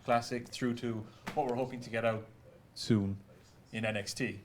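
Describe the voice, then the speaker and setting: A man talking quickly and casually as a game developer in an unscripted panel chat. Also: mid-range voice, fairly even intonation, slight background noise.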